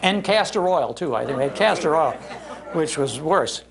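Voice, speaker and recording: Older man, American accent, gravelly voice, folksy and emphatic, nostalgic humorous talk to an audience. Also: a little high, lively intonation, normal speed, crowd noise. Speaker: speaker giving a talk on old-time radio. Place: public library talk before an audience.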